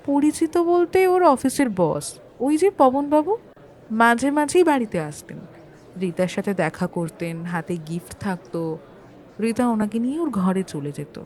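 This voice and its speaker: A woman's voice: a little high, moderate pace, a little mellow, lightly acted. An actress playing a landlady testifying in a courtroom audio drama, chatty, hesitant and a little defensive about her propriety. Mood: recounting. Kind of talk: Bengali audio-story courtroom acting.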